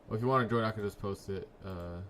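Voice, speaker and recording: A man's voice: lowish voice, quick pace, a little hiss or hum. Laid-back young man streaming trades. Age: 20s. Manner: laid-back, slangy, trailing off quietly. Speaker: livestream trading host. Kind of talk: casual live-stream chat reading comments.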